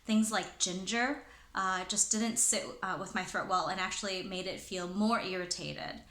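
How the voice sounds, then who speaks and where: A woman's voice: medium pitch, steady tone, normal speed, pushed and bright, reverberant. A woman who works as a presenter and trainer, sharing advice in a chatty way with many fillers and restarts. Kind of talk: casual talking-head advice.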